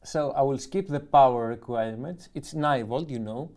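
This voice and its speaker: Greek-accented man unboxing music gear. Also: medium pitch. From Greece. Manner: relaxed, halting, restarts and self-corrections while reading. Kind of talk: casual live-stream unboxing, reading a manual aloud.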